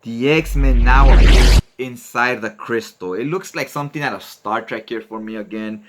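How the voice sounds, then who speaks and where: A man narrates a comic book story as its host. He talks informally, with slang and casual commentary, like chatting with friends, in a medium-pitched voice. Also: moderate pace, heavy background noise.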